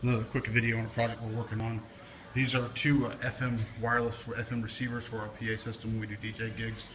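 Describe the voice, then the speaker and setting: A man's voice: medium pitch, flat delivery, fast talker. A man giving a casual, off-the-cuff equipment walkthrough in an informal, explanatory way, recorded indoors on a handheld camera. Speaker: video presenter, DJ and PA technician. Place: indoors, brick-walled workshop building. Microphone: handheld camera or phone, speaker off screen. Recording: noisy handheld camera audio with room ambience.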